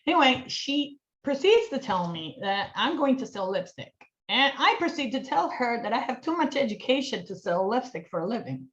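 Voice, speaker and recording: A woman in her forties from Colombia with a Colombian accent, a guest telling her story in an animated, self-mocking way over a video call. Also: on the high side, moderate pace, hardly any pauses, highs cut off. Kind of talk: storytelling in a casual online chat.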